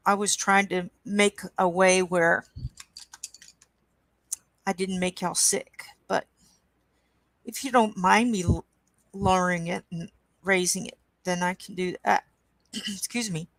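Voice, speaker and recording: Woman, croaky, slow unhurried talk, gravelly, dry webcam sound, casual livestream chat. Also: lowish voice, fairly even intonation, no room sound, rough recording. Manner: slow, unhurried, reads chat aloud with soft asides. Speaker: coloring livestream host. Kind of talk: casual chat while coloring.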